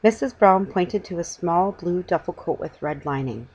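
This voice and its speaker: A middle-aged woman reads a children's story aloud. Her voice sits fairly low with a husky grain, and it moves up and down freely. The delivery is a slightly halting home reading. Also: expressive, darkish tone, muffled recording. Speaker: amateur storybook reader. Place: room at home, indoors.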